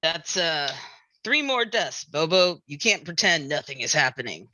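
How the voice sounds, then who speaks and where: A woman's voice: sits low, very animated, measured pace, bright, forceful tone, no echo, heavily acted, poor audio. A woman reading the part of a worried, exasperated beach-town sheriff in a musical comedy table read, pointed and incredulous. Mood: exasperated, dry.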